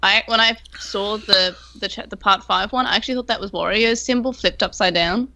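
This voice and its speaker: A woman's voice: medium pitch, brisk, sharp tone. A woman co-hosting a casual podcast, speaking hesitantly and repeating words as she goes. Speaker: podcast co-host.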